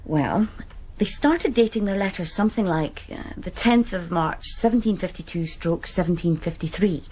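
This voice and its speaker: An actress playing a keen academic woman in a radio drama, patiently explaining in an informal, slightly husky voice. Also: lowish voice, lively intonation, normal speed, darkish tone. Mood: keen, puzzled. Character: female scholarly friend, keen, patient explainer.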